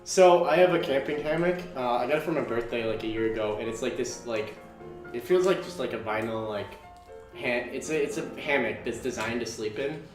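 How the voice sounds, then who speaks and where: A man's voice: medium pitch, fairly quick, roomy sound, faint music behind. A man of twenty chatting casually as a podcast co-host, rambling through a story with lots of fillers. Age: 20s. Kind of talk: casual chat.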